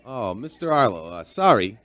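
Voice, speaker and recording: A man's voice: fairly low pitch, big pitch swings, moderate pace, fairly bright tone, no echo. A man playing a chatty veteran barman in a radio drama, telling an uneasy mystery in a folksy, rambling way. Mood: friendly. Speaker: narrator and lead character. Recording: music behind the voice.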